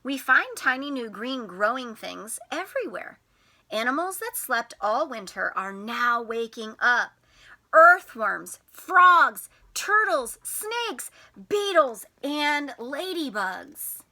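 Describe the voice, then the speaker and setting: A woman teacher reading a picture book to young children in an expressive, sing-song way, putting questions to them. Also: high-pitched, slow pace. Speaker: teacher and storytime host.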